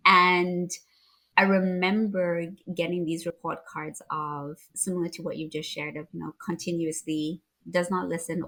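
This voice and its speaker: A woman's voice: on the low side, a bit bright, poor audio. A woman from Zimbabwe hosting a conversational interview, reflective and affirming, with frequent small restarts. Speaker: podcast host.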